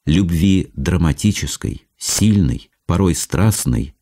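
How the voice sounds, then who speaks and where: A man's voice: low voice, expressive, takes it slowly, dark tone. A man giving a solemn, declamatory scripted narration.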